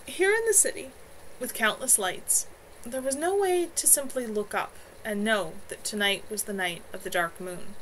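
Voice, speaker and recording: A woman reading her own novel aloud as an author on a home livestream, in an expressive amateur narration style. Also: a little high, normal speed, slight background noise, rough recording. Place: at home, in a room with a bed.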